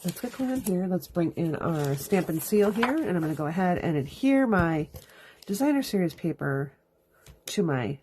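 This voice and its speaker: A woman's voice: lowish voice, sing-song, moderate pace, noisy background. Stuffy-nosed woman demonstrating crafts. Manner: chatty, explains each step as she works. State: stuffy from allergies, sniffling. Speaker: crafting host. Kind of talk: live card-making demonstration. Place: home craft room. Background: dog dragging its bed around.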